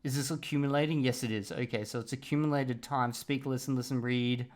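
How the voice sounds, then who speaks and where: A man's voice: medium pitch, steady tone, fairly quick. A man giving casual reaction commentary on a video, blunt and profane, with laughing asides.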